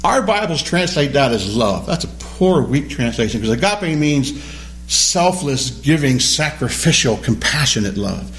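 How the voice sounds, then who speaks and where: A man teaching a Bible study class, animated and questioning, repeating key words as he explains them. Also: medium pitch, a little slow, a little hiss or hum. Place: classroom or church hall with a small group.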